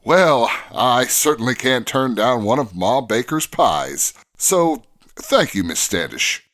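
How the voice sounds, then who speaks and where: A man's voice: medium pitch, big pitch swings, slow pace, fairly bright tone. A man playing a kindly, upright Mountie sergeant, earnest and courteous in an old-fashioned way, in radio adventure style.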